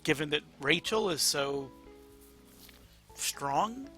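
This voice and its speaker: A man's voice: on the high side, animated, slow pace, pushed and bright, soft background music, full character voice. A man voicing a casual, friendly male hero in a read-aloud story. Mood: easygoing. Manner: easygoing, informal.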